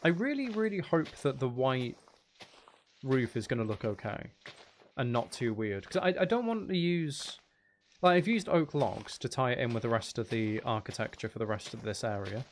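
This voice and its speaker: Creaky-voiced man thinking aloud while gaming. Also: medium pitch, expressive, normal speed. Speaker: gaming streamer. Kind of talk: casual live gameplay commentary. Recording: faint background noise and clicking.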